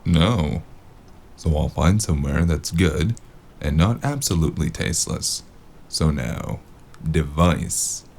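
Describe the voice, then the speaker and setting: An actor playing a weary, sardonic noir investigator in an audio drama, gravelly and dryly deadpan. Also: male voice, a bass voice, slow pace, slight background noise, full character voice. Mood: dry, focused. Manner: dry, understated deadpan.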